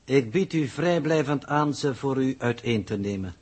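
An actor playing a cryptic, unruffled impostor coordinator in a 1960s radio drama, speaking formally and precisely with mocking courtesy. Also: male voice, medium pitch, expressive, moderate pace, darkish tone, breathy edge. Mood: calmly taunting. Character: cool, cryptic unmasked impostor, spaceship's brilliant coordinator. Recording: muffled early 1960s radio recording.

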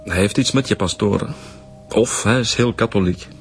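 Actor as a lowish-voiced Flemish detective. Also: male voice, moderate pace, soft background music, close to an everyday voice. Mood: matter-of-fact. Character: matter-of-fact male police detective. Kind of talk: naturalistic radio drama acting.

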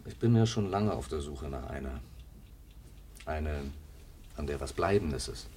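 A man's voice: extremely low pitch, expressive, unhurried, darkish tone, husky, exaggerated voice. An actor playing a restless, self-doubting drifter in his thirties in a 1950s German radio play, brooding, given to bursts of fervour. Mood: reflective. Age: 30s.

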